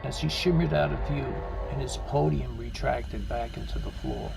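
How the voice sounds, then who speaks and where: A man narrating a scripted short story with a gravelly voice and a measured, literary delivery. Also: medium pitch, over music.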